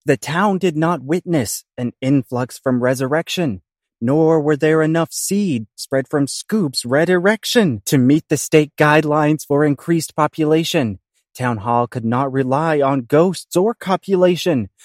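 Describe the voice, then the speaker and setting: A man's voice: on the high side, a little slow, no room sound. Man narrating theatrically, dry close sound. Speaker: narrator of a comic audio play. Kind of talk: theatrical rhyming scripted narration.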